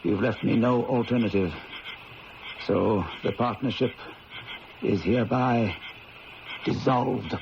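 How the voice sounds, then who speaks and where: An actor playing a ruthless male diamond thief in a 1970s radio drama, speaking in a calm, measured, quietly menacing way. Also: mid-range voice, creaky. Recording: lo-fi old radio broadcast with hiss.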